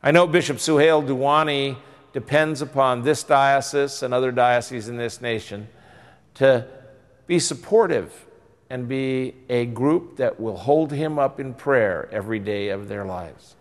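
A man's voice: medium pitch, a little slow, in a large hall. A man in his sixties, a bishop, earnestly exhorting his diocese in a pastoral address to camera in a church. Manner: earnest, exhorting, rising and falling emphasis. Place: church interior by stained glass windows.

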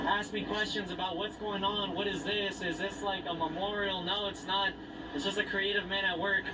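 A man's voice: high voice, distant mic. A man interviewed in a news report, speaking plainly with a few fillers. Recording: TV audio from afar with loud noise.

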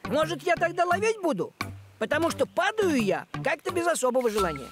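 An actor voicing a grumpy, fussy, thrifty tomcat in an animated series, with indignant, sarcastic grumbling. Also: high-pitched, a little fast, music in the background. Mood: wary, reluctant.

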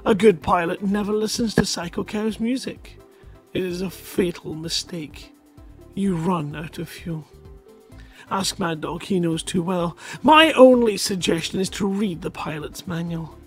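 A man in his thirties hosting a live show on a headset mic, reading short stories with a drawn-out dramatic delivery between bits of banter. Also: sits high. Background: music in the background.

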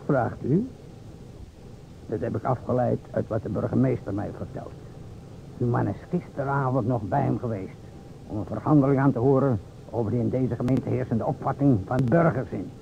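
Actor as gravelly, solemn radio-drama investigator. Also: male voice, close to an everyday voice. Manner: formal, deliberate, dramatic storytelling with pointed pauses. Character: solemn, theatrical investigator addressing a bereaved widow. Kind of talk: old Dutch radio drama monologue. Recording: vintage radio, narrow band, hiss and rumble.

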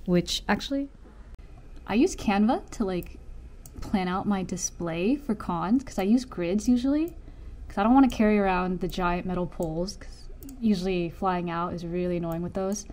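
A woman's voice: lowish voice, normal speed, darkish tone, a little hiss or hum. Young woman chatting casually. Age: young adult. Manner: informal, rambling. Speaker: vlogger and convention artist. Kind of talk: casual vlog chat.

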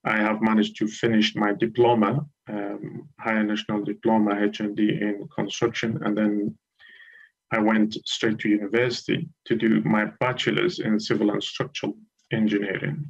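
Low gravelly man on a lo-fi video call. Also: little pitch movement. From Iraq. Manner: measured, hesitant with frequent ums. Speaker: guest lecturer. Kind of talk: live TV interview over video call. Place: home room with curtains.

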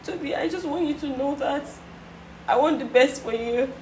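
An actress playing a friend who begs and apologizes over and over. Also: female voice, high voice, normal speed, lightly acted. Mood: apologetic. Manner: apologetic, begging, repeats sorry. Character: woman confessing a betrayal to her friend. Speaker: friend confessing. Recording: roomy echo, faint noise.

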